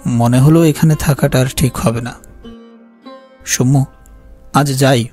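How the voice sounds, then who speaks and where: A man's voice: medium pitch, fairly even intonation, unhurried, darkish tone, slightly rough, soft background music. A man acting as a haunted, guilt-ridden young man, narrating his horror story in a brooding, fearful way. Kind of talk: scripted dramatic horror narration.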